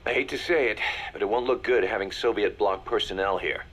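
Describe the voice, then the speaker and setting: A man's voice: fairly low pitch, fairly quick, husky. A man playing a shrewd, hard-nosed mercenary second-in-command, assured and businesslike, in a video game audio drama.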